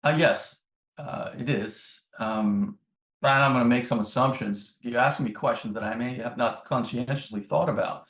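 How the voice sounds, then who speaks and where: A middle-aged man from the Netherlands, a native Dutch speaker, answers interview questions in a reflective, measured conversational way. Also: medium pitch, a bit bright. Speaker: podcast guest, business executive. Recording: roomy, echoing sound.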